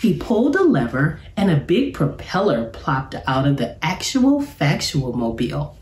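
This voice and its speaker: Middle-aged woman, low-pitched, very lively intonation, teacher's storytelling, careful enunciation, roomy echo. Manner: expressive, deliberate enunciation, addressing young listeners. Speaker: teacher reading to children. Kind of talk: children's read-aloud storytelling. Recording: roomy, echoey sound.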